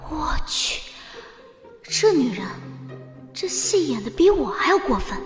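A woman's voice: high-pitched, sing-song, unhurried. An actress playing a brash young woman's sardonic inner thoughts in an audio drama, blunt and incredulous, with a reverb effect. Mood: incredulous, scornful. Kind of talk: Chinese audio drama acting. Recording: long reverb with music behind.

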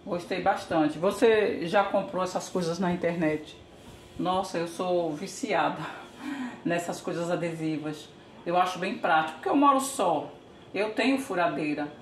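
A woman's voice: lowish voice, unhurried. An older woman from Brazil casually narrating a home how-to, chatty and thinking aloud while she works in her kitchen. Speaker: home vlogger. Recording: echoey kitchen, faint background hiss.

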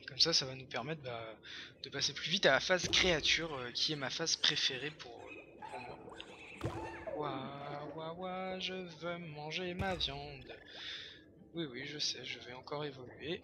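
Hoarse young man narrating gameplay. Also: on the high side, a little slow, noisy background. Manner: chatty, excitable, full of fillers and repeats. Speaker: gameplay commentator. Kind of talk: casual let's-play commentary.

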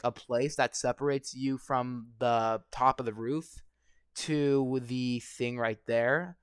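Young male gamer, slightly high voice, hoarse and angry, swearing nonstop over a dry close mic. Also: fairly bright tone, no room sound. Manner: angry, swearing constantly, rambling. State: voice going hoarse. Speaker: gaming vlogger. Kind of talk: profane ranting commentary over gameplay.

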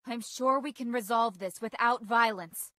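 A woman's voice: on the high side, moderate pace, bright, forceful tone, dry recording, clean studio sound. A woman voicing a stern, composed teenage student council girl in a video game, formal and clipped in manner. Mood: calm, pleading. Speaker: video game character voice actor. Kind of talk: scripted game voice lines.

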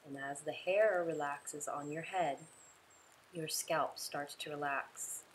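Low voiced lady doing guided meditation, soothing and slow, some noise in the background. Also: steady tone. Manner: soothing, unhurried, instructing in short pauses.

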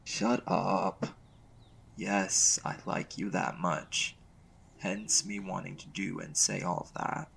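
A man performs a young, lovestruck male college mage for a boyfriend roleplay. His voice is low and heavily fried, and he talks slowly in halting, casual phrases, earnest and a little unsure of himself. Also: level tone, gravelly, a bit bright, a little hiss or hum, full character voice. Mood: tender, earnest. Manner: hesitant, halting, casual slangy delivery. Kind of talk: intimate boyfriend audio roleplay.

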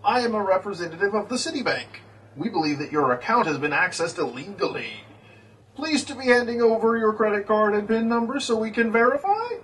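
A man's voice: high-pitched, big pitch swings, brisk, a bit bright, poor audio. A man voicing a shifty monster puppet scammer who speaks stilted, overly formal broken English. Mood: falsely polite. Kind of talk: comic puppet sketch. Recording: faint background noise and ambience.

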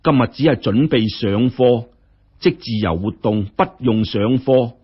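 A man plays a gentle schoolmaster in a told story. The tutor is measured and courteous, with a slightly rough voice on somewhat muffled audio. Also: mid-range voice. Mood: calm, formal. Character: mild, encouraging male tutor, calm and formal.

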